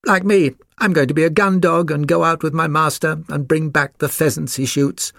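A man's voice: on the high side, steady tone, moderate pace, creaky, a bit bright, no echo, studio quality, voice pushed up. A man voicing an eager, inquisitive Springer Spaniel puppy in a storybook.

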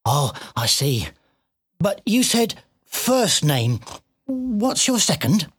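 A woman's voice: lowish voice, big pitch swings, slow pace, slightly rough, voice pushed up, full character voice. A woman voicing an innocent young male piglet in a children's story, courteous, hesitant and earnest, with well-bred turns of phrase. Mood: polite, curious.

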